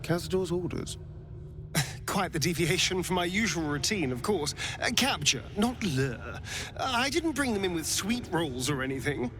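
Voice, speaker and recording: A man's voice: sits high, expressive, moderate pace, over music. An actor playing a conflicted, flippant male vampire spawn, theatrical and hesitant, in a dramatic video game style. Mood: sheepish, flippant. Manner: theatrical, hesitant, breaking into nervous laughs.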